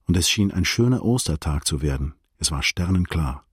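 A deep, husky narrator of a literary radio play. He recounts a sad night soberly and precisely, with measured restraint. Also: animated, no echo, studio quality. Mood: reflective.

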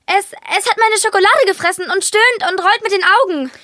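A very high, sharp girl's voice. She is a bratty sister who scares easily and speaks emphatically, as in a children's radio drama. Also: fairly quick. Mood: panicked. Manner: urgent, emphatic. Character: bratty, easily frightened girl, the older sister.

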